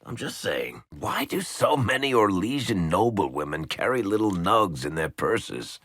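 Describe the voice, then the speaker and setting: Husky, low-voiced wry rogue. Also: male voice, big pitch swings, measured pace, a bit bright, no echo, full character voice. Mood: dry grumbling. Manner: wry, sardonic, teasing. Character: wry male storyteller rogue, sardonic and easygoing companion. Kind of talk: video game character banter.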